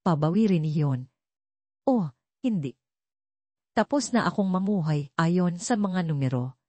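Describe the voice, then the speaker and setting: A woman voicing a gentle, protective foster father in an audio drama, speaking in an earnest, measured way. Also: fairly low pitch, expressive, dry recording. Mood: resolute. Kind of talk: audio drama storybook reading.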